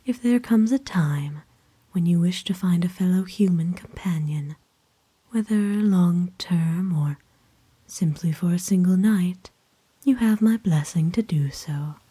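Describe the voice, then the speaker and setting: A woman acts out a doting, noble lady vampire in an ASMR roleplay. Her voice is a slow, breathy whisper, soft and mellow, with gentle, courtly phrasing that soothes. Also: lowish voice. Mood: gentle, formal. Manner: gentle, formal old-fashioned phrasing, soothing. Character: kind, doting, aristocratic female vampire owner.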